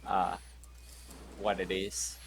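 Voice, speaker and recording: A man's voice: sits low, flat delivery, extremely slow, creaky, husky. A man playing a tabletop role-playing game, joking casually with his group. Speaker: player.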